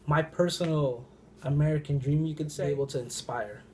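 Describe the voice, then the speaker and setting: A young man answering interview questions earnestly, with halting restarts. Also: a little high, steady tone, mellow, a little hiss or hum.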